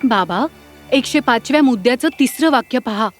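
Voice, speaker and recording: An actress playing a proud, sharp-witted young princess, poised and assertive, in a fairy-tale cartoon. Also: female voice, fairly high pitch, expressive, normal speed, soft background music, lightly acted. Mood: challenging.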